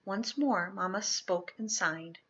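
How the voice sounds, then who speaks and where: A woman reads a storybook aloud with a bright, forceful edge and a husky grain. She leans into the drama, swelling on key words and dropping softer on others. The recording is a little dull and lo-fi. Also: medium pitch, slow. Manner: dramatic, with emphatic swells and hushed drops. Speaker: storybook reader. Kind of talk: expressive read-aloud of children's historical fiction.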